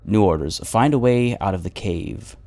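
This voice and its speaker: A fairly low-voiced man streaming a game, chatting loosely to his viewers. His voice sounds slightly rough from the morning, and he rambles and restarts his sentences often. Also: expressive, normal speed, dark tone. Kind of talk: casual live-stream chat.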